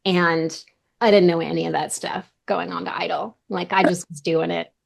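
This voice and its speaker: A woman in her thirties talks loosely and at length, leaning on "like" and doubling her words as she goes. Her voice sits a little low, with a gravelly fry running under it. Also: level tone, moderate pace. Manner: chatty, lots of "like" and repeated words. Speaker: vocal coach, interview guest. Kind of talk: casual interview chat.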